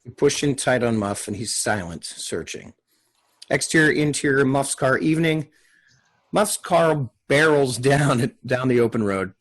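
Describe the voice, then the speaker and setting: Man, script narrator, screen directions, husky voice, medium pitch. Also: animated, unhurried. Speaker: narrator and reader at table read.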